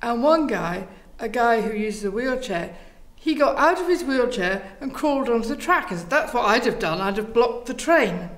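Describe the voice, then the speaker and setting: A woman's voice: on the high side, lively intonation, normal speed. A woman answering interview questions and telling stories as the leader of a theatre group.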